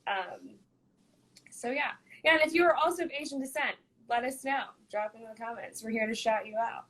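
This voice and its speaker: A woman's voice: fairly high pitch, moderate pace, fairly bright tone, poor audio. A young American woman hosting a casual live chat on a phone or laptop mic, bubbly, laughing often and filling with "um". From United States. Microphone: phone or laptop mic on a video livestream.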